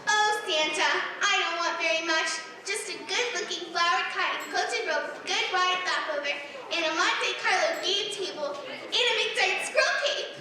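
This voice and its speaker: A woman's voice: extremely high pitch, a little slow, pushed and bright, exaggerated voice. An actress playing an eager little girl reciting toy ads to Santa in a 1940s-style radio drama, with a sing-song, gushing delivery. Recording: echoey stage from far off with audience.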